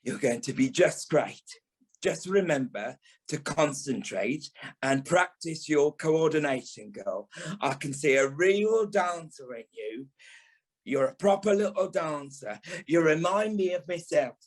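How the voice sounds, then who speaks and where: An actor playing a camp, sharp-tongued British dance teacher and former stage dancer in an audio play, theatrical and sarcastic. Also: male voice, a little high, animated, a little slow. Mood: encouraging, wry. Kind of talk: audio play monologue and dialogue.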